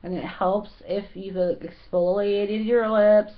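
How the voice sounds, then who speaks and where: Woman beauty vlogger, casual and halting, lots of fillers, unhurried, a little hoarse, muffled lo-fi sound. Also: mid-range voice, steady tone. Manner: rambling, filler-heavy, halting. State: slightly hoarse. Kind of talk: casual makeup tutorial. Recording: dull lo-fi home recording.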